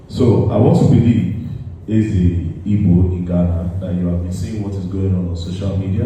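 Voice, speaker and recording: A man's voice: deep voice, warm tone. A man hosting an interview in a chatty, loose way, restarting his questions as he goes. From Nigeria. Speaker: interviewer and video host. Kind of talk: casual interview. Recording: echoey room, voice far from the mic, faint noise.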